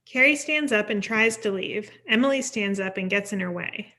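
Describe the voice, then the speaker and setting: A woman narrates a screenplay at a table read. Her voice is bright and forceful at a medium pitch, and she delivers scene headings and actions as scripted narration. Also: normal speed. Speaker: stage directions reader.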